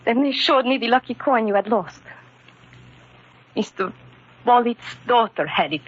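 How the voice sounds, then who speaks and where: An actress playing an earnest, wounded immigrant wife with a foreign accent, speaking carefully and formally in an old-time radio drama. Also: female voice, on the high side, lively intonation, a little slow, slightly rough. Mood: cold, wounded. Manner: careful, formal, uncontracted speech. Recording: muffled, dull old radio recording.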